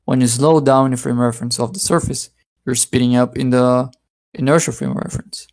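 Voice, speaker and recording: A man's voice: mid-range voice, fairly even intonation, fairly quick, darkish tone. A man from Brazil, explaining physics step by step in a heated voice-chat debate.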